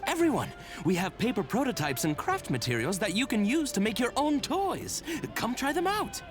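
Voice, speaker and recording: A woman's voice: a little high, big pitch swings, moderate pace, music in the background. A woman playing a welcoming, organised event organiser in an anime-style video game.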